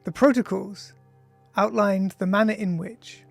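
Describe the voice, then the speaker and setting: An author reading their own nonfiction audiobook in a measured, formal, deliberate way. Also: mid-range voice, steady tone, gravelly, dry recording, faint music behind. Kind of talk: scripted audiobook narration of an essay.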